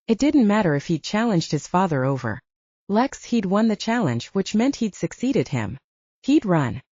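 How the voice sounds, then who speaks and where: A woman's voice: on the low side, a little mellow, no room sound, dull audio. A woman reading a scripted story as an audiobook narrator.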